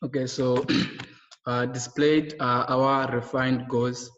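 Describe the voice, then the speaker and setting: A young man presents his project's goals in a webinar, in a halting way with filler sounds. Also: medium pitch, flat delivery, fairly bright tone, slight background noise. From Zimbabwe. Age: young adult. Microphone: video call. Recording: echoey, dull lo-fi webinar audio.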